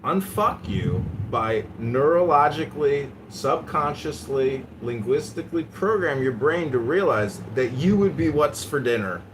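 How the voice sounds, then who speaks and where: A man hosting a live audio chat, roasting his guest in a mocking, provocative way, swinging between loud and quiet. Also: on the high side, lively intonation, unhurried, few pauses, background noise. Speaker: host.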